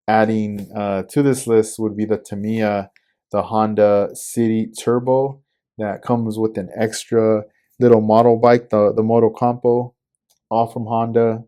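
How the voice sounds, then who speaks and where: A man's voice: on the low side, mellow. A man in his forties hosting a casual podcast, talking loosely about new hobby shop stock with lots of fillers. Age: 40s. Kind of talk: casual product rundown.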